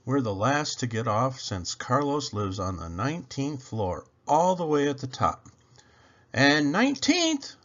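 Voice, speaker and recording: A man reading a children's story aloud with expressive narration, as a storybook reader. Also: medium pitch, a little slow, dull audio.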